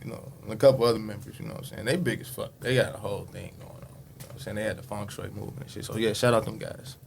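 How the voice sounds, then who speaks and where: A man's voice: lowish voice, fairly even intonation, rapid-fire, creaky, a little hiss or hum. A man in his late twenties, a rapper guest on a podcast, talking casually in slang with lots of swearing and "you know what I'm saying". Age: late 20s.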